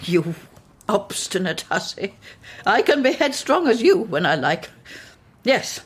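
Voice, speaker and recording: A woman's voice: mid-range voice, big pitch swings, takes it slowly. An actress playing a formidable, blunt older mother-in-law in a period radio drama, imperious, scornful and emphatic. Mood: scolding.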